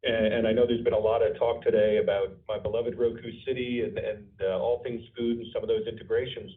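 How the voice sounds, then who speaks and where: A male company executive giving conversational, halting answers with stammered repeats on an earnings call. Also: lowish voice, level tone. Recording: distant phone-like conference line.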